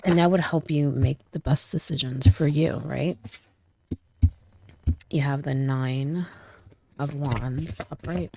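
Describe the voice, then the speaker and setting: A woman's voice: low voice, steady tone, takes it slowly, long pauses, dark tone, slight background noise. A woman doing a casual tarot reading, a little stuffy from a cold, chatty and often checking in with "okay?" and "right?". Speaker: tarot reader.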